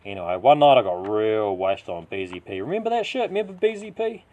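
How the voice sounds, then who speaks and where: A man voicing a rough-living taxi driver with a dark past, rambling confidingly and insistently in slangy New Zealand speech. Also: lowish voice, sing-song, a little fast. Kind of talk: character dialogue in audio story.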